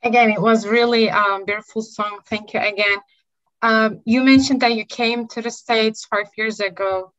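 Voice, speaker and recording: A woman interviewing a guest, warm and encouraging, with loose casual phrasing. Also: a little high, steady tone, moderate pace. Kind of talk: friendly interview. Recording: dull lo-fi sound.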